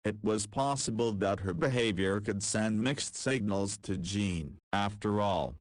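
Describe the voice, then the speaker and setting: A man narrates the story in a lowish voice with an even, measured delivery. The sound is dry and close to the mic, with no room in it. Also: no echo. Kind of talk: scripted narration for a visual novel.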